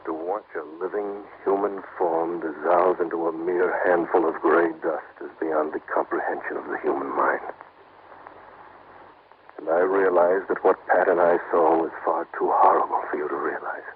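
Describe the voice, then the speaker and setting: An actor playing a composed investigator in an old radio drama, with measured, dramatic delivery. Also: male voice, sits low, steady tone, natural voice. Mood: grave. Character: composed male investigator narrating his own supernatural tale. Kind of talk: old-time radio drama acting and narration. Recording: tinny, noisy vintage radio recording.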